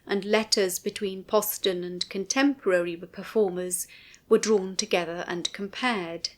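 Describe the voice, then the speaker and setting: A woman reads a scripted documentary commentary in a measured, formal way. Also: mid-range voice. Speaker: narrator and writer of an audio commentary.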